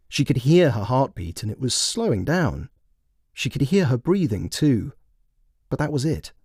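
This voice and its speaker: Male storyteller, lively varied pitch, scripted fiction narration, close dry recording. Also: no echo. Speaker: author and narrator.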